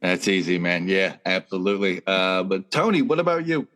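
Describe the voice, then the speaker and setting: A man with a very low voice hosts a laid-back sports podcast and reads sponsor spots in an upbeat tone. He talks over a video call. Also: normal speed, a bit bright. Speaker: podcast host. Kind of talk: casual podcast hosting with read ads.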